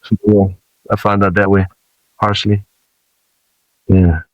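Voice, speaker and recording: A man in his forties who grew up in Samoa speaks in a lowish voice, unhurried and full of fillers as he tells stories about growing up. The sound is close and dry, with no room around it. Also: no room sound, lo-fi sound. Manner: relaxed storytelling with many fillers. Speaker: rapper guest. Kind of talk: casual interview talk.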